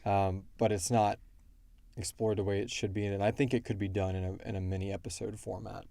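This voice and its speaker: A man hosting a casual podcast Q&A, thinking aloud in a halting way. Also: lowish voice, level tone, creaky, mellow, no room sound. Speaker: podcast host and show creator. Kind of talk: casual Q&A chat.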